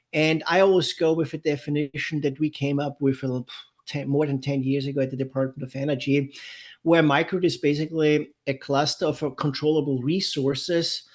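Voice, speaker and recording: A man with a German accent presents a technical webinar in a slightly higher-pitched voice. He talks in a loose, conversational way, often restarting his sentences and filling pauses with "uh". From Europe. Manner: conversational, many fillers and restarts. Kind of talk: unscripted technical lecture. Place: San Diego, California.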